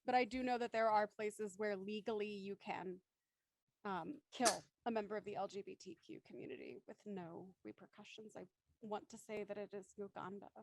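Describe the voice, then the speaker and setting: A woman's voice: medium pitch, steady tone, brisk, gravelly, a little mellow. A woman hosting a live panel discussion, speaking in an emphatic, articulate way. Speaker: host of a live show discussion.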